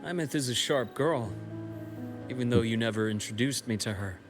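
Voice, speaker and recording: A man playing a calm, polite, reassuring confidant in a video game, speaking in a measured way. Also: medium pitch, natural voice. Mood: composed, candid. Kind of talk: scripted video game voice acting. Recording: game audio with music.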